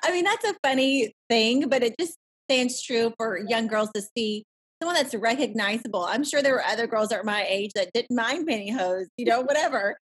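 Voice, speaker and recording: Woman, podcast host, husky voice, upbeat, encouraging, video call. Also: on the high side, fairly quick. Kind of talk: casual interview chat.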